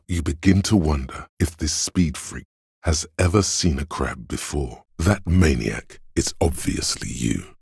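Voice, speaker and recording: A very deep, husky voice of logic. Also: male voice, dry recording, clean studio sound, exaggerated voice. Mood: dry, analytical. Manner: measured, deliberate, dryly wry. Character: voice of Logic, a cool analytical inner voice in a detective's head. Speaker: game narrator. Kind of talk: scripted second-person game narration.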